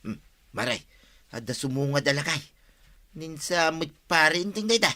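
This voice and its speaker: A man's voice: on the high side, lively intonation, normal speed, sharp tone. A man with an Ilocano accent playing a teasing village friend in an audio drama.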